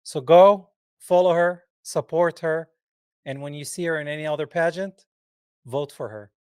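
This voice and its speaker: A man's voice: on the high side, normal speed, darkish tone, smooth, no room sound, clean studio sound. A man from Palestine hosting a podcast, chatting casually and emphatically with his guest. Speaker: podcast host. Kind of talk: casual interview chat.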